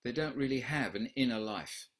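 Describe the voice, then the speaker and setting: A man in his fifties leading an online question session from a room at home, speaking slowly and reflectively over a video call. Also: medium pitch, animated. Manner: slow, reflective, husky. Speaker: workshop leader. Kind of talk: online Q&A talk. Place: home room with wooden doors.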